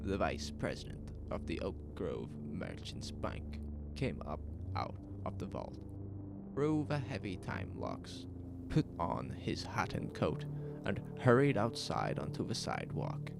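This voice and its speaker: Low, creaky male horror narrator. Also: wildly swooping pitch, unhurried. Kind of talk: scripted horror audio drama narration. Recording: music behind the voice. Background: music.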